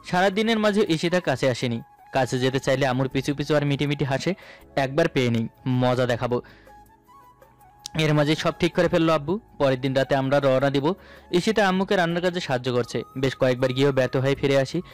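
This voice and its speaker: A man's voice: mid-range voice, fairly quick. A man narrating a scripted romantic story in the first person. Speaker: narrator of romantic audio stories. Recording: voice over background music.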